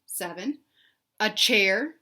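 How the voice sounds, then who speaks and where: A woman reading a children's storybook aloud in an animated, emphatic way, pausing to put questions to young listeners. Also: mid-range voice. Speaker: read-aloud host for children.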